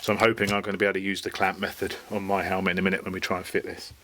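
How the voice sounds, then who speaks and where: A man's voice: deep voice, little pitch movement, very fast, a bit bright, a little hiss or hum. A British man giving a casual hands-on product review, explaining things informally as he goes. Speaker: motorcycle gear reviewer.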